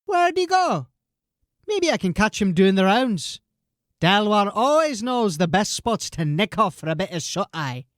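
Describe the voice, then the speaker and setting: An actor playing a brash, slangy street informant who insists on being heard in a fantasy audio drama. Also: male voice, sits high, big pitch swings, a little slow, a bit bright, no echo, clean studio sound, full character voice. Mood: agitated. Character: pushy, agitated street informant with urgent news.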